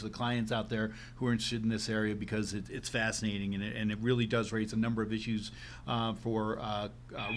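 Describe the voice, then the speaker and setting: A man's voice: lowish voice, a little hiss or hum. A man hosting an interview, asking long rambling questions full of fillers. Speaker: interview host. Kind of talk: podcast interview.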